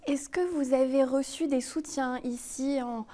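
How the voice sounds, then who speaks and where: A woman anchoring the evening news, her voice high and mellow. She asks her questions gently and steadily. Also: fairly even intonation, measured pace. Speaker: news presenter.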